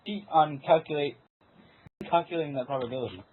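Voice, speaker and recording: A man's voice: on the high side, fairly quick. A man reading a character's part in an amateur group story reading, with some casual chat. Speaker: amateur reader voicing a character in a fan fiction. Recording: tinny voice chat line with clear noise.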